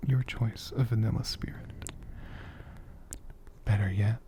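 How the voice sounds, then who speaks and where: Slow, whispering male ASMR host. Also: fairly low pitch, steady tone. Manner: gentle, hushed, unhurried. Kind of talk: soft-spoken whispered ASMR.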